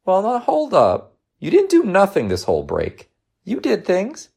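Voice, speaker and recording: A man voicing a gentle, teasing working mother in a storybook reading, coaxing and reassuring. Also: sits high, wildly swooping pitch, unhurried, creaky, slightly rough, voice pushed up, heavily acted. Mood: encouraging, playful.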